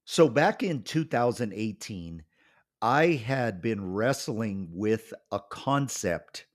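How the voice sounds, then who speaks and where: Male podcast host, low voice, nursing a cold, casual and rambling. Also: slow. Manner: relaxed, rambling, many ums. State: has a cold, voice may crack. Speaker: pastor and author.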